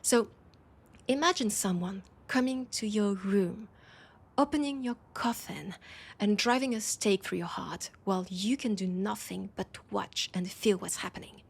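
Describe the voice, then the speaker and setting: A woman acting out a proud vampire lady with a French accent. Her voice is husky and frayed with gravelly creak at the edges. She speaks earnestly and haltingly, worried but dignified, as in an intimate audio drama. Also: mid-range voice. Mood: grave, troubled. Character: proud, worried female vampire of a supernatural council. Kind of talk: intimate scripted audio roleplay.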